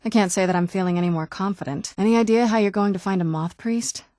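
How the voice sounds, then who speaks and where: A woman's voice: medium pitch, fast, mellow, no echo, clean studio sound, lightly acted. A young woman playing an ancient but youthful vampire noblewoman in a video game, speaking in a wry, understated, conversational way. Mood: dry, guarded. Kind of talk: video game character dialogue.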